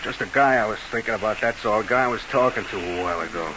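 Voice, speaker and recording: A man's voice: lowish voice, fast talker, natural voice. Actor playing a jumpy radio-mystery tough guy, noisy recording. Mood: suspicious. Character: haunted, defensive nightclub manager, a nervy tough guy. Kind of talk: old-time radio mystery acting. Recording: noisy, hissy old radio broadcast.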